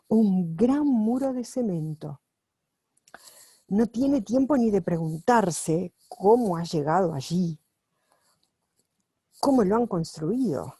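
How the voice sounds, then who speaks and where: A woman reads aloud at home in a slow, warm, mellow voice. She translates a book as she goes, so she moves carefully and corrects herself now and then. The sound is close and dry. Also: mid-range voice, slightly rough, no room sound. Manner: unhurried and careful, with pauses and self-corrections while reading. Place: at home, with her dog nearby.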